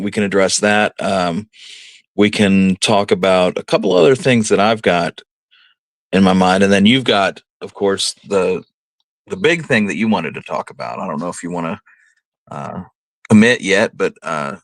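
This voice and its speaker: A man with a somewhat low voice chats casually as co-host of a history show. His talk is unscripted and conversational. The sound is close and very dry, free of echo. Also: moderate pace.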